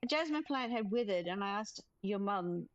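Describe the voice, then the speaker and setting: A woman's voice: mid-range voice, lively intonation, normal speed, dry recording, dull audio, faint music behind, natural voice. Woman reading as a modest, thoughtful florist. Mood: wistful. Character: florist neighbor, plant lover, modest and thoughtful. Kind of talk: visual novel character read aloud.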